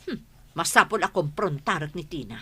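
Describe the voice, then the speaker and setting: Actress as domineering Ilocano madam, low raspy voice, animated swings, suspicious and scheming, radio comedy drama. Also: female voice, a little fast, full character voice. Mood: indignant, accusing. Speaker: voice actor.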